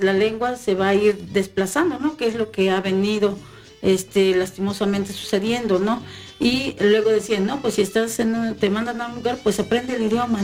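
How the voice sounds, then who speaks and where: Brief: hesitant woman in casual talk, soft music underneath. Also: mid-range voice. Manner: hesitant, many fillers and restarts. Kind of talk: casual conversation.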